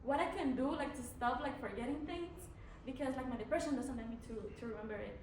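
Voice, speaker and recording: A woman's voice: high voice, fast talker, a little mellow, slight background noise. A young woman from Mexico, a native Spanish speaker with a Mexican accent, answering a panel's questions as a student candidate, hesitant with many fillers and restarts. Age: young adult. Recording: echoey room, from far off.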